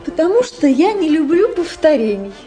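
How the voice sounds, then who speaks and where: A high, soft-toned female voice with lively swoops over faint music. a proud young noblewoman in a radio drama, teasing, mocking and coquettish. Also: moderate pace. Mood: cool, dismissive. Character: haughty, teasing young aristocratic princess. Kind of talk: Russian radio play acting.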